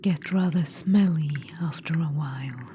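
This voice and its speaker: A woman voicing a vain undead witch-queen necromancer in an ASMR roleplay, whispering softly and calling the listener darling. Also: low voice, unhurried. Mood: smug whisper. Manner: soft intimate whisper, calls listener darling; smug, boastful, self-satisfied. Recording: whisper with steady background noise.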